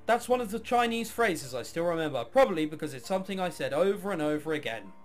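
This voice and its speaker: A man's voice: fairly high pitch, animated, fast talker, faint music behind. A man reading a visual novel aloud, mixing dramatic narration with casual, offhand commentary. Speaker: video game let's player.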